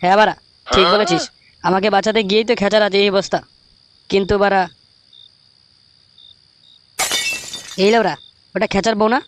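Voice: mid-range voice, steady tone, fast talker, background noise, lightly acted. A performer voicing a foul-mouthed, irritable young male cartoon buddy in a comic dub. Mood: scheming, annoyed. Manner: crude, swearing, brash.

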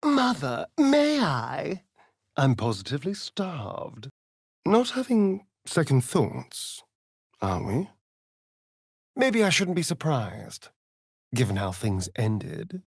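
An actor with a British accent playing a sardonic, preening vampire rogue in a fantasy video game, with an arch, drawling, theatrical delivery. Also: male voice, on the high side, wildly swooping pitch, slow, vocal fry, pauses often, husky, dry recording, clean studio sound, heavily acted. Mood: needling.

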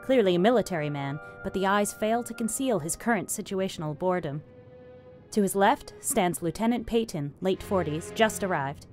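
Female narrator for scripted drama, mid-range pitch, reading stage directions over soft music. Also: animated, normal speed. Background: faint music.

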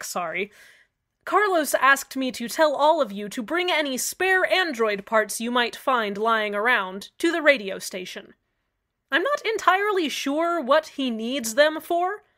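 A woman playing a cheerful radio host with an eerily calm, sweet manner. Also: a little high. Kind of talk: scripted radio drama narration.

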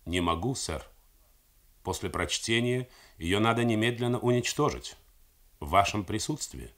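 A man's voice: lowish voice, animated, normal speed, a bit bright. A man doing a subdued, dutiful radio operator. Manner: formal, clipped reporting.

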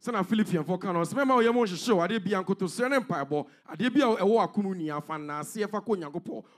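A young Ghanaian man works as a live sermon interpreter, speaking on a handheld mic in a very high voice. He delivers each echoed phrase quickly, in bursts that follow the preacher. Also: expressive. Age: young adult. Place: church hall, on stage before a congregation. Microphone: handheld microphone.

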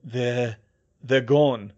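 Man as a shaken, halting teenage wizard boy. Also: mid-range voice, steady tone, warm tone, dull audio. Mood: stunned. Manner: stammering, halting. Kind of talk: audiobook character voice.

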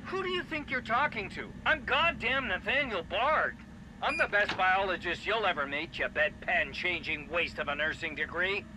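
A man's voice: sits high, expressive, moderate pace. A man playing an arrogant, abusive chief biologist in a video game, with a condescending, profane manner. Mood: indignant. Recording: clear background noise.

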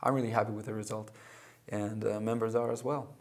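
A man in his thirties, from Russia and Israel, answering questions as a guest in a TV studio interview, halting with many fillers and restarts. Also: lowish voice, flat delivery, darkish tone. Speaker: club president and guest. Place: small TV studio.

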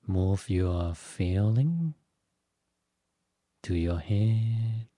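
A man leading a guided meditation as a teacher, giving gentle instructions in short phrases with long pauses. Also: on the low side, expressive, no room sound. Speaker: Buddhist monk, meditation teacher.

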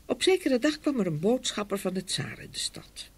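Casting: woman narrator for children's fairy tales, husky and expressive, with lively pitch. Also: normal speed. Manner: expressive storytelling. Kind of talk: scripted fairy-tale narration.

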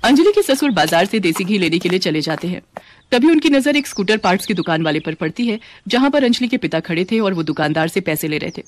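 A woman's voice: on the low side, very animated, quick pace, a little hiss or hum. A woman reading scripted narration for a moral family story.

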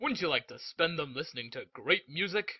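Man doing a high-pitched pushy TV pitchman. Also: animated, brisk, slightly rough. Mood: upbeat selling. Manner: salesy, emphatic. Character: pushy male TV music-compilation pitchman. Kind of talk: parody infomercial narration. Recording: dull lo-fi audio over music.

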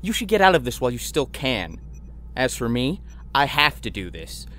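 A man's voice: mid-range voice, big pitch swings, moderate pace, sharp tone, slight background noise. An actor playing an indignant, panicky man with a melodramatic, exclamatory delivery in a comedy audio drama. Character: indignant, panicky man, a righteous customer prone to screaming.

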